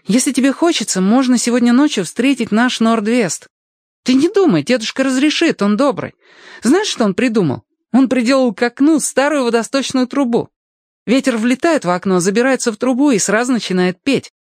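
A man's voice: extremely high pitch, expressive, brisk, dry recording, studio quality, voice pushed up. A man voicing an eager, chatty young boy in a children's audiobook tale. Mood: shy, inviting. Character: lively, eager young boy, trusting storyteller. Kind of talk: acted audiobook dialogue.

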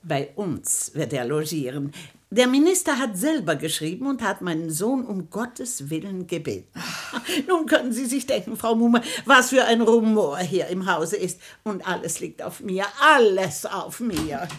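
Casting: pompous old matriarch, husky, swooping delivery, fussy and bustling, theatrical radio comedy. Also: female voice, mid-range voice, expressive, measured pace. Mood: self-important. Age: older. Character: bustling, self-important old small-town grandmother.

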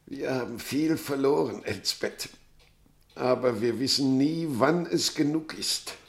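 An actor playing a grave, pleading pastor and father in a German radio play, speaking in a solemn, measured way. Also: mid-range voice, gravelly.

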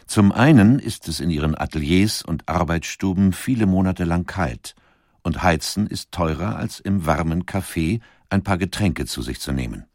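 Male documentary narrator, low and lively, dry sound, dramatic readings. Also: moderate pace, no room sound.

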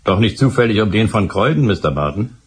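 An actor playing a dutiful police sergeant with a dry, matter-of-fact manner in a German radio play. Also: male voice, fairly low pitch, expressive, a little fast, slightly rough. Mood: dry, businesslike. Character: dutiful, dryly humorous male police sergeant.

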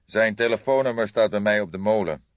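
A man voicing an old, grey-haired police detective, patient and measured, in an audiobook. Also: on the low side, no echo, voice pushed up, natural voice. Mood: firm, instructing. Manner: measured, thoughtful, hesitant hums. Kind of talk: audiobook character dialogue. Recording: dull lo-fi recording.

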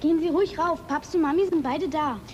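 An actress in a radio play playing a helpful, matter-of-fact youngster. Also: female voice, high voice, fairly quick, darkish tone, muffled recording, a little hiss or hum, lightly acted. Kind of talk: German radio play acting.

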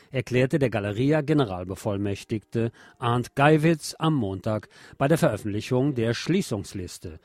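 A man presents the news on a radio show. He reads reports in a mid-pitched voice and slips in sardonic, opinionated asides between items. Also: a little mellow. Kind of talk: news reading with opinionated commentary.

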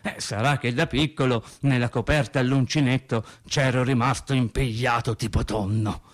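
A man acting a theatrical radio monologue as an agitated, rambling working man who recalls his childhood in halting, repetitive colloquial speech. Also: medium pitch, fairly even intonation, natural voice. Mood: rambling, bemused. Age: adult over thirty. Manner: halting, repetitive, colloquial storytelling with stammered restarts.

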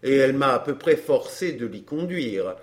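A man playing a courteous, ironic gentleman in a dramatised audiobook, speaking politely and with phlegmatic calm. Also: medium pitch, moderate pace, natural voice. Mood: calm irony. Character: Baron de Fresnay, unflappable, courteous, ironic gentleman.

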